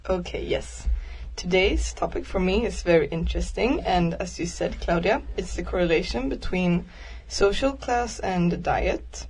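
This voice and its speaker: A young woman from Sweden presents her topic on a radio chat in an earnest way, with frequent small ums between her thoughts. Her voice sits somewhat low for a woman and has a breathy, husky edge. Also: measured pace, hardly any pauses, a little mellow. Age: young adult. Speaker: volunteer guest presenting a topic.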